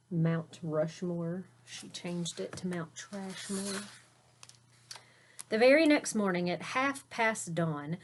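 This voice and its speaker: Slow-paced woman reading a storybook aloud. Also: mid-range voice. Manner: expressive, simple explanations aimed at young children. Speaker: teacher reading a picture book aloud to children. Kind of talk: storybook read-aloud with explanatory asides.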